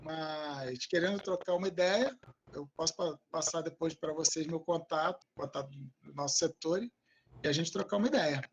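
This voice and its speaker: A man from Rio, answering informally and a little haltingly as an invited expert on an academic panel. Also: fairly high pitch, slightly rough, dull audio.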